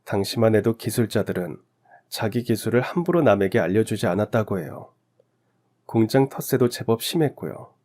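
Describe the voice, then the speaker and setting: A man's voice: on the low side, animated, moderate pace, a little mellow. A man narrating a scripted first-person story in radio drama style.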